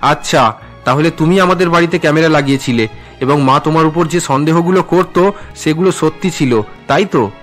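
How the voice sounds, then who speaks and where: A male actor plays a sincere young husband in a cartoon melodrama. His voice is on the high side, earnest and dramatic, with soft music behind it. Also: a little fast, lightly acted. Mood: shocked. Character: earnest, wounded young husband and loyal son.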